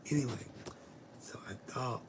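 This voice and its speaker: A middle-aged woman who has lost her voice, chatting in a rambling casual way to live viewers from her bedroom, swearing casually and sometimes dropping to a whisper. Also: moderate pace. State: hoarse, losing her voice. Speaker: vlogger greeting live viewers. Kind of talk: rambling casual livestream chat.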